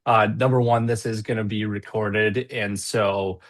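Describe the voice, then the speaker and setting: A man's voice: lowish voice, steady tone, measured pace. A man hosting a webinar over a video call, chatty and upbeat with plenty of fillers. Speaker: webinar host.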